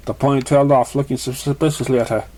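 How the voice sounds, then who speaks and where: A man narrating written fiction as an amateur reader, halting and stumbling over words. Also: medium pitch, steady tone, warm tone.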